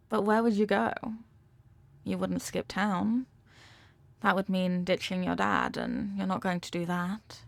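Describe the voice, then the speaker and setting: A woman's voice: medium pitch, vocal fry, dry recording, full character voice. A woman acting a possessive, manipulative rich young girlfriend in an intimate audio roleplay, talking down in a coaxing, teasing way with veiled threats. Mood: quietly threatening.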